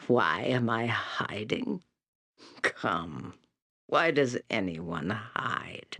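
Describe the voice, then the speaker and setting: A woman playing a blind old seer in a fantasy video game, fearful and fatalistic, speaking solemnly and cryptically in a breathy, raspy voice with long pauses. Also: rumbling low, heavily acted. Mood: haunted, regretful. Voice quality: breathy, raspy, whispery creaking voice. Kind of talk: video game character acting.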